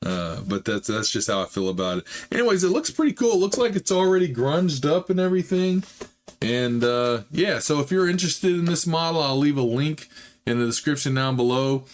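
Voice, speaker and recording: A man hosting a hobby model-building channel, talking in a casual, rambling way with frequent fillers, his voice slightly hoarse. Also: a little high, animated, fairly quick. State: slightly hoarse, recovering from a chest illness. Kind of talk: casual build vlog narration.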